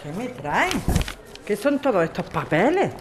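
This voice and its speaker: A woman's voice: medium pitch, big pitch swings, measured pace, background noise. A woman with an accent from Spain acting a tired, secretive, evasive character in radio soap dialogue. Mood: tired, grumbling. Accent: Spanish.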